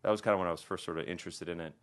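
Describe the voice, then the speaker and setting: Low-pitched, fast-talking male guest, easygoing and conversational. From American Midwest. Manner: relaxed, conversational, many restarts and "you know". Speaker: plastic surgeon guest. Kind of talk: casual podcast interview.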